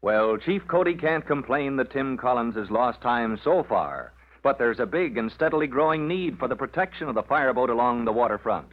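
A man's voice: medium pitch, lively intonation, moderate pace. A man announcing and narrating an old radio serial in a dramatic scripted style. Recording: muffled vintage radio recording.

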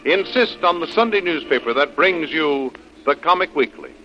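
A male announcer narrates a vintage radio adventure serial in a formal, declamatory way. His voice is somewhat high for a man. The old recording is dull and lo-fi, with faint music. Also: lively intonation, moderate pace.

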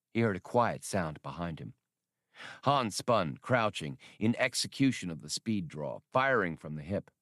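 A man's voice: sits low, fairly bright tone, no room sound, studio quality. A man reading fiction as an audiobook narrator, in scripted storytelling style.

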